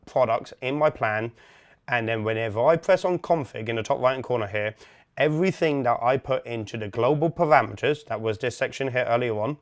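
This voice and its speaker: Man, instructor, step-by-step explanations, methodical, informal, medium-pitched voice. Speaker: instructor, product demonstrator. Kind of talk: software setup tutorial, step-by-step walkthrough.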